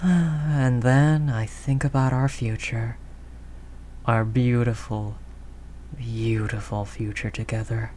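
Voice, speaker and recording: A woman playing a tough, doting mafia heiress girlfriend in an intimate audio roleplay, low-voiced, talking in affectionate slangy tough talk. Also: steady tone, slow pace, warm tone, a little hiss or hum. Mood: devoted, earnest.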